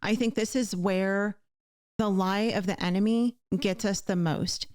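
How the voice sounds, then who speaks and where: A woman's voice: medium pitch, steady tone, unhurried, darkish tone, no room sound. A woman hosting a conversational show, speaking in an earnest, emphatic way as she encourages her listeners directly. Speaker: podcast host.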